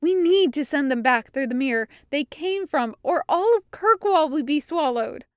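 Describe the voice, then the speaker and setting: A woman voicing an excitable, anxious young female mage in an audiobook story, earnest and breathless as she rambles. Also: high voice, normal speed, no room sound, studio quality, voice pushed up. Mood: urgent, anxious.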